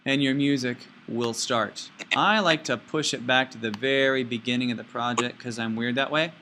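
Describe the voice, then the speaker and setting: A man teaching an informal step-by-step software tutorial, playfully talking himself through each action out loud. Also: mid-range voice, fairly even intonation, moderate pace, slight background noise.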